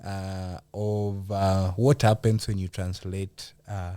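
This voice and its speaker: A man with a Kenyan accent hosting a conversational podcast interview, speaking hesitantly and often clearing his throat. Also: deep voice, flat delivery, slow pace, dark tone, no room sound. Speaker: podcast host.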